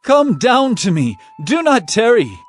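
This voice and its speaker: Male actor for an emotional young ruler: earnest, high voice, very lively inflection. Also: a little slow, faint music behind, heavily acted. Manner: earnest, pleading. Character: Joseph, young adult man, emotional ruler. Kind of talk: dramatized scripture reading.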